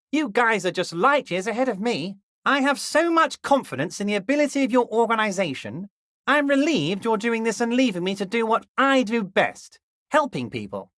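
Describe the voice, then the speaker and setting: An actor playing a smooth-talking, manipulative middle-aged union boss who speaks in a sing-song, falsely friendly way and drops low whenever he says his listener's name. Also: bright, forceful tone, dry recording, clean studio sound, heavily acted. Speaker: game character. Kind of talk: video game voice acting.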